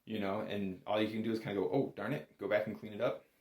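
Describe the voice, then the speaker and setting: A man's voice: on the low side, level tone, quick pace, darkish tone, roomy sound. A man giving a casual, step-by-step music lesson as an instructor, in an informal, reassuring and encouraging manner. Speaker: bagpipe instructor.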